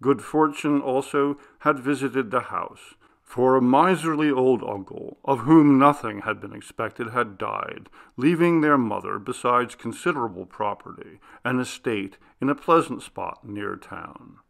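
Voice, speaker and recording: A man reading a classic Gothic story as scripted narration, in a theatrical, deliberate, literary manner. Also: medium pitch, vocal fry. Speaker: audiobook narrator.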